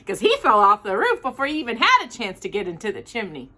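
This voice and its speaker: Animated woman reading stories aloud, bright voice. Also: fairly high pitch. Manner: animated, deliberate storybook delivery. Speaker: storytime channel host reading to children. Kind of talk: expressive read-aloud storytelling with casual asides.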